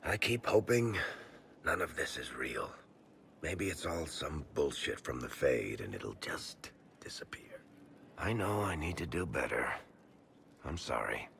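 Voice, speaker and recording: An actor playing a wry, plain-spoken rogue with a guarded soft heart in a fantasy game. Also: male voice, rumbling low, big pitch swings, unhurried, gravelly, heavily acted. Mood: weary, sorry. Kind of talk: dramatic video game cutscene acting. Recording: faint background ambience noise.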